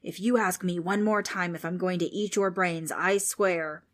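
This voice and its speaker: A woman's voice: lowish voice, steady tone, moderate pace, lightly acted. A woman voicing a grumpy, pompous old male ghost who lectures a group of children. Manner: bossy, irritable, grandly spooky.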